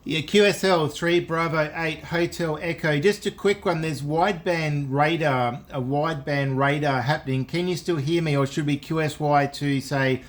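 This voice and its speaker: A man's voice: fairly high pitch, steady tone, normal speed, hardly any pauses. Friendly male ham radio operator. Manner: friendly, enthusiastic. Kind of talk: casual ham radio contact.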